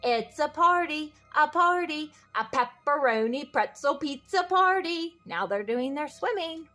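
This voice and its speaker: A woman's voice: high voice, expressive, unhurried, sharp tone, soft background music. A woman reading a children's picture book aloud expressively, with sing-song refrains and little questions to young listeners. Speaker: read-aloud host.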